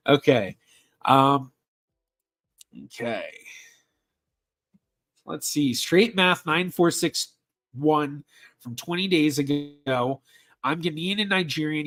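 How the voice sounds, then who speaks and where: A man's voice: on the high side, expressive, a bit bright. Chatty man answering genealogy questions. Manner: halting, many ums and restarts, reads questions aloud. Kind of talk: casual livestream Q&A.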